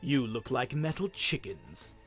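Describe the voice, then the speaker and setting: A man's voice: medium pitch, very animated, a little slow, fairly bright tone, slightly rough, soft background music, voice pushed down, heavily acted. A man voicing a cruel, mocking king, gloating and vengeful, with a taunting, menacing delivery.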